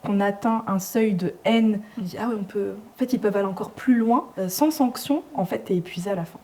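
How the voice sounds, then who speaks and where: A woman's voice: mid-range voice, fairly quick, a little mellow, slight background noise. A woman athlete recounting personal experience in a casual discussion, indignant in tone. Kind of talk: casual conversation.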